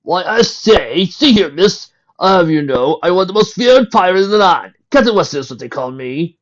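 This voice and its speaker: A man's voice: high-pitched, animated, moderate pace, muffled recording, voice pushed up. A man voicing a stuffy, boastful pirate captain, playful and declamatory, in a story. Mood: pompous boasting.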